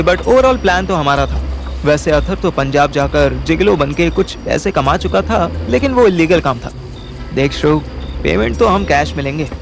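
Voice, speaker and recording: A man's voice: high voice, vocal fry. A man playing a young schemer who narrates an audio drama in a wry, colloquial way with an Indian accent. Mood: explaining, placating. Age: young adult. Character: young male schemer narrating his detective venture, wry, placating. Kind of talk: first-person audio drama narration and dialogue in Hindi-English mix.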